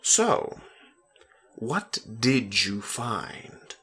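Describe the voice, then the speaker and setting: A man reading the storybook lines of a quiet, mild-mannered teenage boy. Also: medium pitch, very animated, drawn-out words, breathy edge, exaggerated voice. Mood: curious. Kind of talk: storybook dialogue in a dramatic reading.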